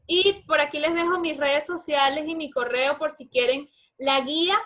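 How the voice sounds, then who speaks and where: Venezuelan andes lady doctor, pretty high voice, doing informal webinar q&a, chatty explaining. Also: steady tone, normal speed, a bit bright. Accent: Venezuelan Andean. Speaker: guest lecturer, obstetrics doctor. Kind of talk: informal webinar question-and-answer.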